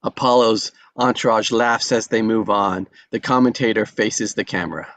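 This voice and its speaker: A man's voice: fairly low pitch, steady tone, normal speed, a bit bright. A man reading stage directions as scripted narration at a table read.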